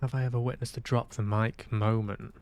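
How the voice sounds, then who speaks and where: A man's voice: mid-range voice, little pitch movement, fairly quick. A man chatting casually as he hosts a livestream, hesitant and musing aloud to himself. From Manchester, UK. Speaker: livestream host. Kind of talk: casual livestream chat while playing games.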